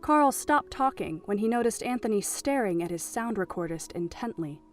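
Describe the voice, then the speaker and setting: A woman with a mid-pitched voice reads scripted narration for an audio drama. She tells the story as narrator, with soft music underneath. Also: lively intonation, moderate pace.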